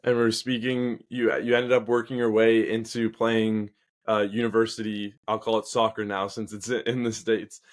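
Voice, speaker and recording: A man's voice: on the low side, little pitch movement, normal speed. A man hosting a podcast, asking questions in a casual conversational interview style. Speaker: podcast host.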